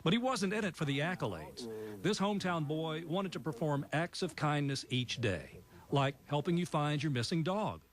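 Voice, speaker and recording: A man, a news anchor reading a tribute in a measured way. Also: fairly high pitch, animated. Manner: warm, measured tribute delivery. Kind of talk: broadcast news narration.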